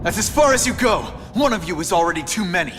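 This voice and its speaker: An actor voicing a young male wisecracking superhero in a video game cutscene, firm and confrontational with a quick, joking edge. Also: high voice, animated, loud music behind the voice. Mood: stern.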